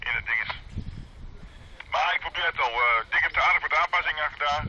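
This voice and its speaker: A man's voice: on the high side, fast, sharp tone. Een man met een Nederlands accent praat haperend en gemoedelijk via de luidspreker van een portofoon, met herhaalde woorden. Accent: Dutch, from the Netherlands. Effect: heard through a handheld radio speaker. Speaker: amateur radio operator. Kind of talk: casual two-way radio chat. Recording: dull, very noisy handheld radio speaker outdoors.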